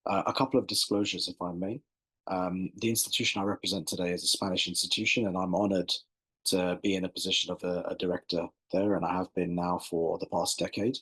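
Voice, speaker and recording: An English man with a very low, husky voice, speaking as a barrister on an academic panel. He talks in a measured, lawyerly way and often repeats words as he builds his points. The sound comes through a video call. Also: flat delivery, fairly bright tone, low-quality recording. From England. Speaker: barrister and institute director, panelist.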